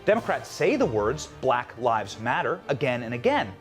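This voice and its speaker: A man's voice: medium pitch, big pitch swings, moderate pace, faint music behind. A man delivering a scripted political monologue as a commentator.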